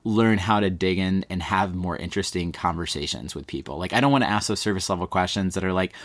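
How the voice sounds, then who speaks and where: A man from East Texas with an East Texas accent, talking in a relaxed, rambling way as a podcast host being interviewed. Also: sits low, quick pace, no echo. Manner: relaxed, rambling, many fillers like "like". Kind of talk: casual conversational interview.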